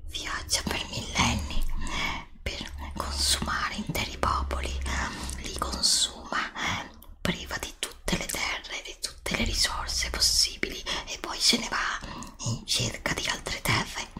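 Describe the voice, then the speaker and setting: A woman playing a solemn, pleading princess of a threatened castle kingdom in a hushed ASMR roleplay, dramatic and close to the microphone. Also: slow pace, lightly acted. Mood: pleading, sorrowful. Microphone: close desktop USB microphone.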